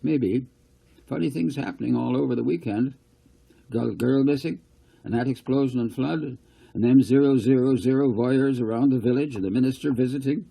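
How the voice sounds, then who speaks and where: Storybook farmer, acted by a man: older, plain-spoken, curious, husky and mellow, with rustic turns of phrase. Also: mid-range voice, moderate pace, pitched above the performer's own voice, rough recording. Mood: puzzled, gossipy. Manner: folksy dialect, musing.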